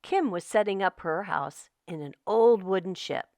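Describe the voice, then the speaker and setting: A woman narrating a children's story as host, in an expressive, deliberate read-aloud style. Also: mid-range voice, no echo, studio quality. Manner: slow, animated, deliberate storytelling delivery.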